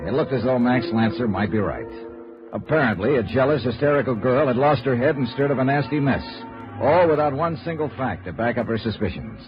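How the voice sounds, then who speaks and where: An actor playing a courteous but hard-boiled insurance investigator, clipped and dry, in a 1950s radio noir drama. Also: male voice, medium pitch, animated, brisk, over music, natural voice. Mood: weary, skeptical. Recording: dull vintage 1950s radio recording.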